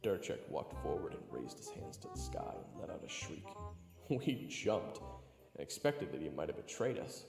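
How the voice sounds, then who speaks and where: A man's voice: deep voice, big pitch swings, measured pace, vocal fry, heavily acted. A man playing a brash, wisecracking adventurer who narrates the tale and banters, in an echoing recording with music. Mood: wry narration. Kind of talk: first-person story narration and banter. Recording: roomy echo, long reverb, loud music.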